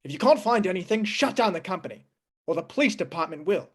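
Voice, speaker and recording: A woman playing an exasperated, bullying police sergeant with a gruff manner, in old-time radio style. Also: fairly low pitch, expressive, quick pace. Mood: exasperated, hectoring.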